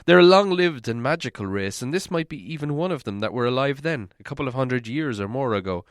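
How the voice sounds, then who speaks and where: A man voicing a stern, dignified old dwarf in a storybook reading, measured and grave. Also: mid-range voice, lively intonation, no room sound, clean studio sound, lightly acted. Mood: stern, earnest.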